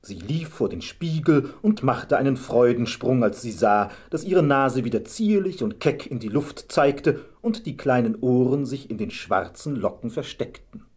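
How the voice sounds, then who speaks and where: Husky male fairy-tale narrator. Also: mid-range voice, lively intonation, measured pace, hardly any pauses, a little mellow. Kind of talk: scripted fairy-tale storytelling.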